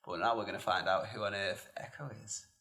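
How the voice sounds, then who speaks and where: A man's voice: lowish voice, steady tone, brisk, bright, forceful tone. A man hosting a podcast, chatting in an easygoing way and laughing along with his guest. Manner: enthusiastic, salesy in the ad, easygoing when chatting. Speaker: podcast host. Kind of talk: upbeat promo read, then casual interview. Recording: echoey room, faint background noise.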